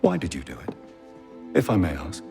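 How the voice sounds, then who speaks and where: An actor playing an urbane, calm tech creator with veiled menace in a video game, speaking in a measured, formal, probing way. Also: male voice, low-pitched, gravelly, over music, heavily acted. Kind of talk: video game cutscene acting.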